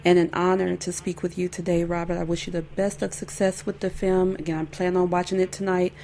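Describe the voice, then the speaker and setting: A woman host with a lowish, gravelly voice and a dark, mellow tone. She speaks earnestly and wraps up the interview while soft music plays behind her. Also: level tone, fairly quick. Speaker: podcast host. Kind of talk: interview wrap-up and outro.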